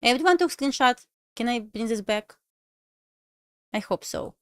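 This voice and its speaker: A woman with a Russian accent, a native Russian speaker, chatting casually on a trading livestream in halting English with restarts. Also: on the high side, animated, clear, resonant tone, no room sound, clean studio sound. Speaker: livestream trader.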